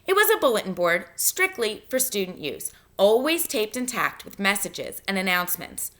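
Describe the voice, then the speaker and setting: A woman teacher reading a novel aloud to students in an expressive, instructive way, pausing to give guidance. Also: mid-range voice. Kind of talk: read-aloud lesson, narration with spoken instructions.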